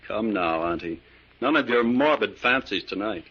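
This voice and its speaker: An actor playing a polite, evasive young nephew with a gambling habit in an old-time radio drama. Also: male voice, fairly low pitch, animated, normal speed, breathy edge. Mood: placating. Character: smooth-talking, debt-ridden nephew, a weak gambler. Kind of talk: 1940s radio drama acting. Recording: muffled, noisy old radio recording.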